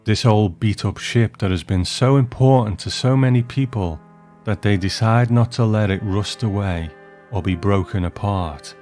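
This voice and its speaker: Male host-narrator, lowish voice, scripted review and story reading, music underneath. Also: moderate pace, darkish tone.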